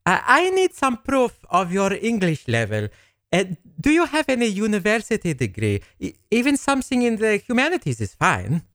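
A man playing a halting foreign school manager. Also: sits high, wildly swooping pitch, fairly bright tone, dry recording, clean studio sound, heavily acted. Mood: hesitant. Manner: hesitant, halting with uhs.

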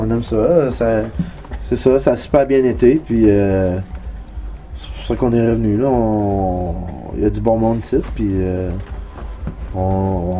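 A man from Ottawa, a band member chatting casually in an interview and talking haltingly with many fillers. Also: on the low side, mellow, slight background noise.

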